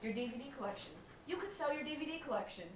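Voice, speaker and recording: An actress playing a brash, dramatic young woman roommate in a live stage comedy, sassy and loud. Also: high-pitched, lively intonation, racing. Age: young adult. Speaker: stage actor. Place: small theatre stage. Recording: roomy stage, distant mic, faint noise.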